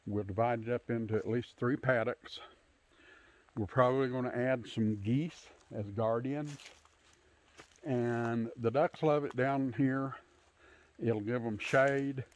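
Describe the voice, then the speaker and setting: Middle-aged man, casual, unhurried outdoor tour. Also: mid-range voice, steady tone, a little hiss or hum. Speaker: homesteader hosting a channel. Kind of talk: casual unscripted tour narration. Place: outdoors in bare winter woods. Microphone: handheld phone at arm's length.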